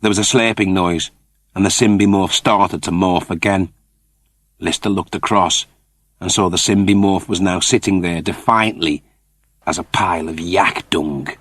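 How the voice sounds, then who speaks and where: A man's voice: deep voice, steady tone, moderate pace, a bit bright, no room sound. A man narrating a scripted story in a dramatic storytelling style. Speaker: audiobook narrator.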